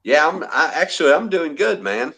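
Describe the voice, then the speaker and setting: A man's voice: fairly high pitch, expressive, normal speed, gravelly, crowd noise. An older man with grandkids, talking in an easygoing, plain-spoken way in casual chat.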